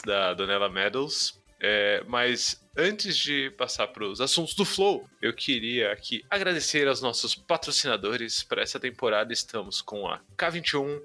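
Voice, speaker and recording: A man's voice: mid-range voice, bright, forceful tone. A man with a Brazilian accent hosting a podcast in an informal, slangy, chatty way. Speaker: podcast host. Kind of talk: casual chat. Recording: music behind the voice.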